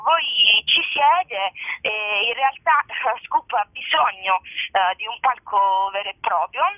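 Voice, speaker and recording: A woman's voice: mid-range voice, dry recording. Woman speaking over a tinny phone line. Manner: hesitant, many filler sounds. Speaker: guest presenting a theatre festival. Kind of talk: phone-in radio interview. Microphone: telephone.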